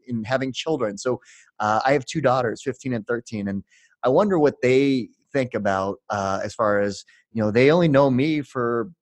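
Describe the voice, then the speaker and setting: A man in his forties hosting a podcast, reading the intro and then asking long, halting questions full of fillers. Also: medium pitch, dry recording. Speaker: podcast host.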